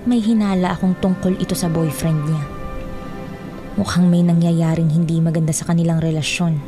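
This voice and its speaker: A woman's voice: on the low side, fairly even intonation, normal speed, music in the background, close to an everyday voice. A woman playing a caring, concerned aunt in a Filipino radio drama. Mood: worried, brooding. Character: concerned, caring aunt advising her niece.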